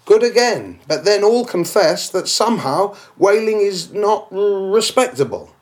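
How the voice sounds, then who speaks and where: A man's voice: high-pitched, lively intonation, unhurried, voice pushed up. A man voicing a scornful, dismissive male objector in a dramatic audiobook reading.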